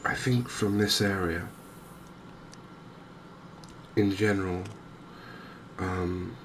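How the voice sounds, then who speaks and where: A man speaks softly and low, taking his time as he commentates on a game in an ASMR style. His phrasing is measured and hesitant, with drawn-out gaps. Clear background noise runs under the voice. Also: little pitch movement, rough recording. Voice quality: soft-spoken. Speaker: gaming video host.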